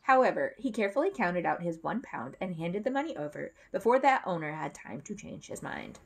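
A woman's voice: lowish voice, big pitch swings, brisk, vocal fry, slightly rough. A woman in her thirties reading a children's book aloud expressively, as a narrator at home. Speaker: story reader. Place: dim room at home.

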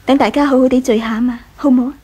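A well-off young married lady in a 1980s radio drama. Her voice is high and soft-edged, polite and composed, with a Hong Kong accent. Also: steady tone, fast, breathy edge. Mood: gentle, concerned. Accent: Hong Kong Cantonese. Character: gracious young married lady of a rich household, gentle, composed.

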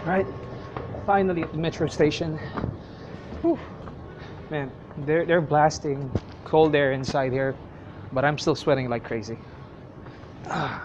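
A man's voice: a little high, a little slow, long pauses. Rambling young man vlogging on the go. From Middle East. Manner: chatty, rambling, self-correcting. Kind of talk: casual vlog narration. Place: outdoors in a hot city, then a metro station and a cafe. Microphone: selfie camera at arm's length. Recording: dull lo-fi camera audio with loud street noise.

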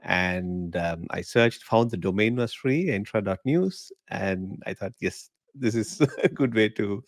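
A middle-aged man from Sri Lanka, a guest on a podcast video call, talking in a relaxed, chatty way with frequent laughs. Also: fairly low pitch, unhurried, no room sound, clean studio sound. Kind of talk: casual interview chat. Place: home living room. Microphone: podcast mic in frame, video call.

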